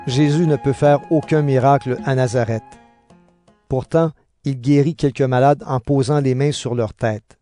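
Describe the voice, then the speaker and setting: A man giving scripted narration for an audio Bible drama in a measured storytelling manner. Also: medium pitch, fairly even intonation, warm tone, dry recording. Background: background music.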